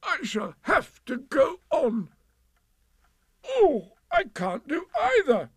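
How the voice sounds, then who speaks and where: Storybook toy bear: slow, hesitant, self-pitying, voice swooping up into plaintive worry. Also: male voice, medium pitch, expressive, vocal fry, warm tone, no echo, studio quality, heavily acted. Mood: distressed, plaintive. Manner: hesitant, plaintive, drawn-out delivery.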